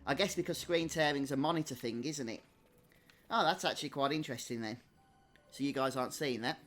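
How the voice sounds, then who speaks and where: A man's voice: mid-range voice, fairly quick, fairly bright tone. A man giving casual live game commentary and chatting to viewers as a streamer. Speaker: gaming streamer. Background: game music.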